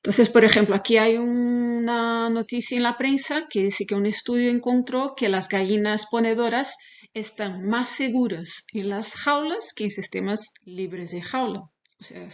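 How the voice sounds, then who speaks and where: Woman, non-native speaker of Spanish, researcher lecturing, medium pitch, halting careful phrasing, muffled lo-fi online recording. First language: not Spanish, speaks Spanish as a second language. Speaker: animal welfare researcher, webinar presenter. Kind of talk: webinar lecture with slides. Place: online webinar. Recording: dull, lo-fi webinar audio.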